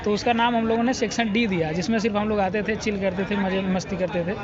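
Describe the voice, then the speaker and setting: A young man from Varanasi, a graduate, speaking slowly like he is telling a story, outdoors. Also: sits high, steady tone. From Varanasi, India. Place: outdoors at a university convocation. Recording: muffled outdoor recording with crowd noise.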